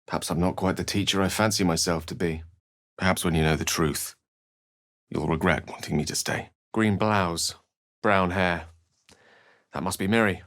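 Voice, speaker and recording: A man's voice: low-pitched, fairly even intonation, moderate pace, pauses often, fairly bright tone, no room sound, clean studio sound. A man voicing an eloquent, courtly wizard companion in a fantasy video game, speaking formally and theatrically. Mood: regretful, tender, then measured, cautionary. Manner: articulate, formal, theatrical phrasing. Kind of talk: fantasy video game voice acting, dramatic narration and dialogue.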